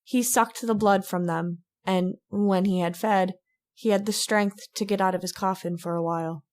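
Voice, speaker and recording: Female lead, acted by a woman: frightened heiress, mid-pitched, vintage radio horror delivery. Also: steady tone, normal speed, natural voice. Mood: haunted. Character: frightened heiress freed from a vampire's spell. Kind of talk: old-time radio drama acting.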